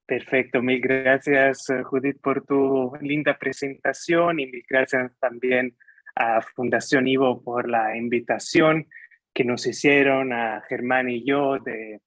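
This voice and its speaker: A young man with Italian as his first language, giving a lecture over a video call. He gropes for words, with frequent fillers and restarts, at an even middle pitch. The sound is dry, with no room around it. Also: slow, no room sound, rough recording. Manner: hesitant, many fillers and restarts. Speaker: guest lecturer.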